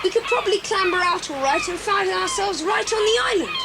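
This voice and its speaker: Eager plucky kid calling out, piercingly high, noisy tape drama. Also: female voice, lively intonation, fairly quick. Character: eager, plucky child explorer. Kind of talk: 1980s children's audio drama acting. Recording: noisy cassette, engine rumble behind.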